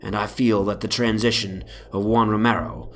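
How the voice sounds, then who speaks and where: A man giving a solemn, brooding dramatic reading of a first-person horror story, in literary phrasing that often sinks into a hush. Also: fairly low pitch, fairly even intonation, normal speed, vocal fry, slight background noise. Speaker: narrator, dramatic reader. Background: ambient soundscape.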